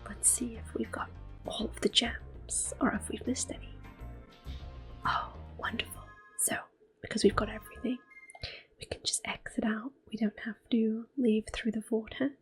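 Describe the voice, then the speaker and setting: A woman giving soft-spoken, often whispered ASMR commentary as she plays through a video game. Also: on the high side, fairly even intonation, normal speed. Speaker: host of an ASMR gameplay series. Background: game music.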